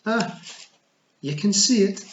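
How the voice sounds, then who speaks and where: A man voicing a tiny, shrewd old female pixie chieftain with a broad Scottish burr, warm, teasing and commanding. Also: high voice, slow, voice pushed up. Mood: wry. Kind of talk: storybook reading. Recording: roomy, slightly dull recording.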